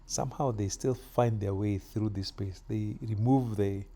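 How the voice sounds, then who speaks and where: A middle-aged man from Kenya chatting casually while doing yard work outdoors, with relaxed pauses and fillers. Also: on the low side. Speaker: vlogger.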